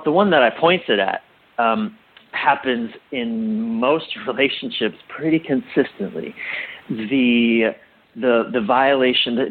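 Interview guest: middle-aged male, husky, reflective and halting, emphatic on key points, on band-limited audio. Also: mid-range voice, narrow, tinny audio. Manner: halting, self-correcting, emphatic. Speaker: interview guest, relationship coach.

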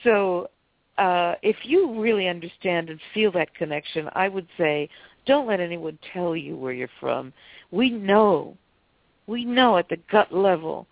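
A woman's voice: lowish voice, lively intonation, unhurried, creaky, dry recording. A woman guest with a stuffy cold, earnestly explaining her views as a psychic and author over a phone line. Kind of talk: phone interview, explanatory talk.

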